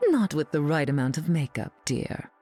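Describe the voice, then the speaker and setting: A woman's voice: sits low, expressive, normal speed, mellow, faint music behind. A woman playing a haughty, glamorous, sardonic society queen in a video game, imperious and arch in manner. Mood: sardonic, amused. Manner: imperious, arch, drawling endearments. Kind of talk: video game character dialogue.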